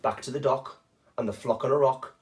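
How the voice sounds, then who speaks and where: A man's voice: mid-range voice, fairly even intonation, a little fast, slight background noise. A man reading a rhyming children's picture book aloud expressively, with short chatty asides to young listeners.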